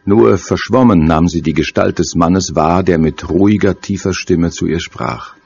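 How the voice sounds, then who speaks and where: A man narrating a scripted horror story in a measured, ominous manner. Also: sits low, creaky, darkish tone, breathy edge. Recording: dull audio play sound over music. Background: music.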